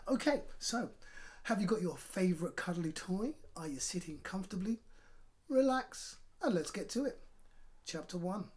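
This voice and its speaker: A man presenting a children's storytime, talking directly and encouragingly to young listeners and narrating in a lively way. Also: high-pitched, moderate pace.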